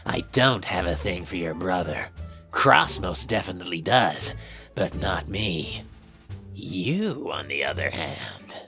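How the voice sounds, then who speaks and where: A woman's voice: rumbling low, very animated, slow pace, a bit bright, faint music behind, full character voice. A woman voicing a sardonic, flirtatious male skeleton villain with a languid, sarcastic drawl in a fan fiction reading.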